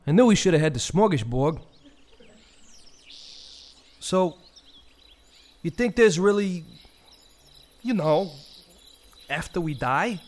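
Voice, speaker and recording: A man's voice: fairly high pitch, expressive, slow, pauses often, a little mellow. A man playing a grumbling, sheepish but devoted male vulture in a comic audio play, colloquial and sardonic.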